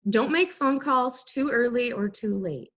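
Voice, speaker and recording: A woman teacher giving an instructional lesson, explaining things in clear, simple, step-by-step phrases. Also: a little high, a little slow. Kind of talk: instructional video lesson for students.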